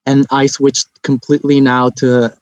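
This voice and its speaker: A young man from Lebanon answers interview questions politely and at a measured pace. His voice sits in an average pitch range, and the recording sounds dry and dull, like a lo-fi remote microphone. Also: completely flat delivery, a little mellow, no room sound. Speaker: podcast guest, research coordinator.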